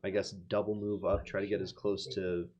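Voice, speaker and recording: Deep-voiced male game player, casual table talk, slightly muffled recording. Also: level tone, moderate pace, a little mellow. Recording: slightly dull room recording.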